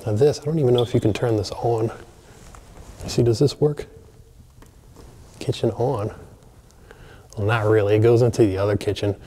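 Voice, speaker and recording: Man, chatty explorer vlog voice, mellow tone, faint hiss behind. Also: medium pitch, moderate pace, pauses often. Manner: excited, chatty, addresses viewers. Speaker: abandoned places explorer. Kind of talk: casual walkthrough narration. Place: large empty mansion, indoors.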